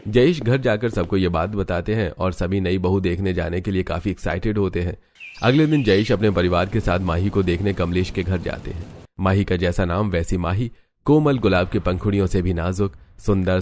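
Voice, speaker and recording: Low-voiced man narrating a story. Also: moderate pace, darkish tone. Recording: music behind the voice. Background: background music.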